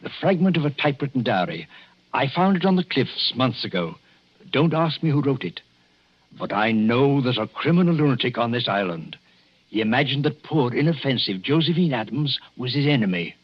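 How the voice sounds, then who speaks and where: Grave English doctor, husky, wildly swinging delivery. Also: male voice, mid-range voice, very animated, normal speed. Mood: urgent, foreboding. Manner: formal, hesitant, swelling to theatrical intensity. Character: grave, hesitant English doctor, ominously warning. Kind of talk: 1940s radio acting. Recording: dull 1940s radio recording.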